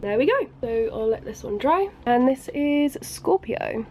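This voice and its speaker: A woman's voice: high voice, lively intonation, a little slow, dark tone, a little hiss or hum. A woman with a bunged-up voice from a cold, chatting casually through a makeup review at home. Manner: chatty, apologetic, frequent ums. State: congested from a cold. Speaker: beauty vlogger. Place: bedroom at home by a busy road.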